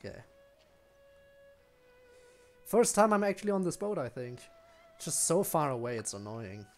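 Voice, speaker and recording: A man's voice: a little high, big pitch swings, moderate pace, a little mellow, faint music behind. A man giving casual, rambling live game commentary, musing aloud to his viewers. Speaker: game streamer.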